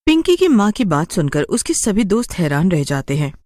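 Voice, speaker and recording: A woman's voice: on the low side, sing-song, normal speed, a little mellow, dry recording. A woman narrating a scripted story in a dramatic storytelling style.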